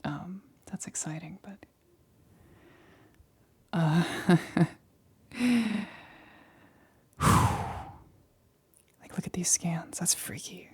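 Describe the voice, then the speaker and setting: A woman playing a nervous scientist in a whispered ASMR roleplay, speaking softly and breathily with hesitant, halting stammers. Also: fairly low pitch, steady tone, slightly rough, heavily acted. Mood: flustered, uneasy. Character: nervous female scientist examining an alien specimen.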